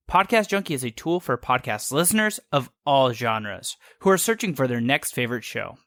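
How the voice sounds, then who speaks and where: Man, podcast host, scripted promo read, higher-pitched, bright forceful tone, dry close sound. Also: no echo.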